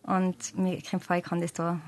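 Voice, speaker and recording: A woman's voice: on the low side, completely flat delivery, normal speed. A middle-aged woman from Tyrol with a Tyrolean accent, answering interview questions casually and searching for her words as a guest singer-songwriter. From Tyrol, Austria.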